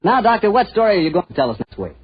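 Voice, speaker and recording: An American man announcing and pitching for a sponsor in 1940s radio style, folksy and salesman-like. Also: very animated, racing, husky. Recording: muffled 1940s radio with music behind.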